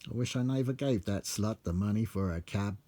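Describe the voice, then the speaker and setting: A British man reading his own comic rhyming verse in a bawdy storytelling manner with a measured cadence. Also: on the low side, dark tone. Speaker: author reading own book.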